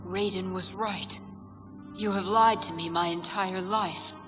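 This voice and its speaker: Woman as a measured, proud warrior princess. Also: medium pitch, fairly even intonation, big-room sound, natural voice. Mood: bitter. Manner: formal, stately diction. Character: proud young warrior princess, earnest and formal. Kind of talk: video game cutscene acting. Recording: loud music behind the voice.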